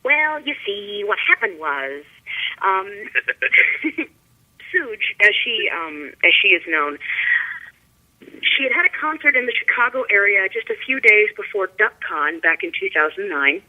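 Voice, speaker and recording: A woman telling a casual personal story as a guest calling in over a phone line. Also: mid-range voice. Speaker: guest musician. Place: remote call into the show. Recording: muffled, tinny phone line.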